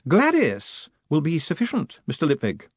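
A man voicing a formidable, prim older woman clerk who makes stern demands in a high, strained voice. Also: huge pitch swings, moderate pace, husky, no room sound, muffled recording, exaggerated voice.

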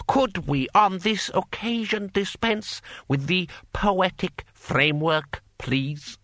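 A man's voice: high-pitched, slow pace, a bit bright, dry recording, clean studio sound, pitched above the performer's own voice. A man voicing an imperious, scheming, impatient fantasy warlord in an audiobook, haughty and coolly polite.

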